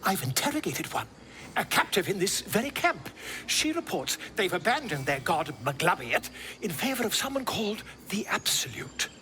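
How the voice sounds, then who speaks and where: An actor playing a pompous, excitable middle-aged scholar in a video game, with florid, theatrical delivery. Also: male voice, sits high, normal speed, slight background noise, exaggerated voice. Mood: eager, dramatic. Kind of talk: video game character acting.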